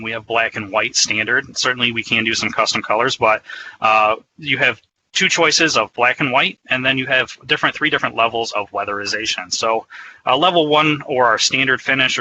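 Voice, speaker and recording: A man's voice: medium pitch, bright, forceful tone, dull audio, slight background noise. A man giving an explanatory, conversational product presentation in a semi-scripted webinar style.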